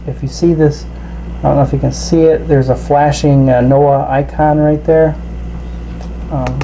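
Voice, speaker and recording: A man giving a casual, halting, explanatory product demonstration as a reviewer. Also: a little high, mellow, a little hiss or hum, poor audio.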